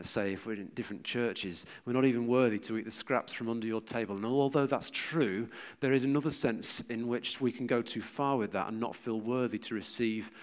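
A middle-aged British man giving an informal sermon with personal anecdotes, in a hesitant, conversational and reflective manner. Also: lowish voice. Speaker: church speaker giving a teaching talk.

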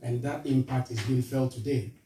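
A man from Ghana with a Ghanaian accent, giving an earnest charity appeal to a church, halting with fillers and restarts. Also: mid-range voice, fairly even intonation, warm tone, a little hiss or hum. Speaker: charity representative speaking to a church. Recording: roomy echo, voice from far off.

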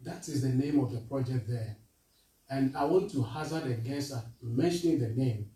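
A man from Ghana with a Ghanaian accent, giving an earnest charity appeal to a church, halting with fillers and restarts. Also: medium pitch, warm tone. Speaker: charity representative speaking to a church. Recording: roomy echo, voice from far off.

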